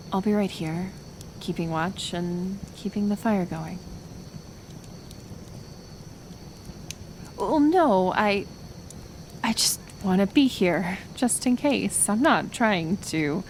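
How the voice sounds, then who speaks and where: Actress doing a kind forest healer lady, soft n hesitant, takes her time talking, noisy ambience behind her. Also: on the low side, animated. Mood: protective, hesitant. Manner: soft, hesitant, soothing, with unhurried pauses. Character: gentle, stubbornly kind woman healer living in the woods. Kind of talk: roleplay audio acting, intimate one-sided dialogue.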